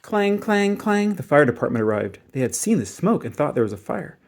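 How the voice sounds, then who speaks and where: A man reading a children's story aloud in an expressive, dramatic and emphatic way. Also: a little high, fairly quick. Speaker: storybook reader.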